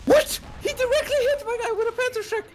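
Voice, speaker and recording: A man in casual gaming banter, talking in halting, hesitant bursts. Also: very high-pitched, a loud crowd. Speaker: video game player.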